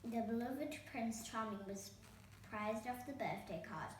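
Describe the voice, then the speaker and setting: A woman's voice: on the high side, a little slow. A woman reading a children's storybook aloud as a storytime host. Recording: very echoey room, distant microphone, faint noise.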